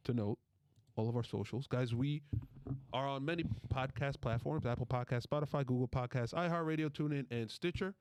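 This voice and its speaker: A man hosts a sports podcast in a casual, bantering style. His pitch sits in the middle for a male voice. The sound is dry, with very little room in it. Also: fairly quick, darkish tone, smooth, dry recording. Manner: playful, bantering. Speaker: podcast host.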